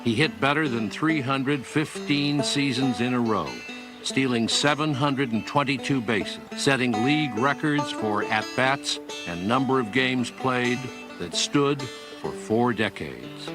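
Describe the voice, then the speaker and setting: A man's voice: mid-range voice, a little slow. A man narrating scripted sports history as a documentary narrator, over plucked guitar. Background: plucked guitar music.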